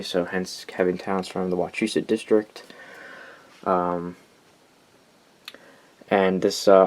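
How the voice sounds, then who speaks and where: Low-voiced young American man, casual and rambling. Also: darkish tone. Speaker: hobbyist collector presenting weather radios. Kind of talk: casual show-and-tell vlog.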